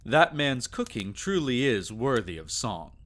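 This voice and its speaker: A man playing a teasing, doting vampire lord in an intimate ASMR romance roleplay, with soft chuckles and sighs. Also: medium pitch, sing-song, measured pace. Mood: tender, content.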